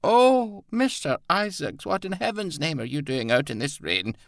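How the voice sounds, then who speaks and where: A man acts out a concerned, fussing woman in a period storybook. The voice is pitched up and creaky. It sounds close and dry. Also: expressive, normal speed, no room sound. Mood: dismayed.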